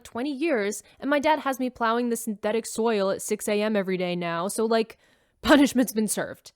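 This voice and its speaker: A woman's voice: on the high side, dry recording, studio quality, close to an everyday voice. An actress playing a cheeky, defiant teenage girl who talks in a brash, sarcastic way. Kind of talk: sci-fi radio drama.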